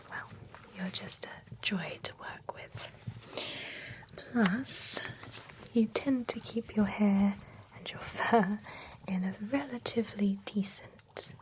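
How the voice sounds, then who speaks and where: A woman's voice: mid-range voice, poor audio. Woman whispering as a fussy vampire groomer. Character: fussy female vampire spa groomer, chatty and prim. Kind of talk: ASMR roleplay. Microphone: close sensitive ASMR mic.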